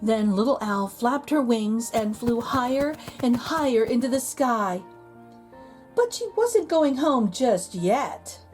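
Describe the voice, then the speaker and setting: Slow, animated female story reader for children, fairly high voice. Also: faint music behind. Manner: slow, expressive storytelling with lively pitch. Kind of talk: picture book read-aloud.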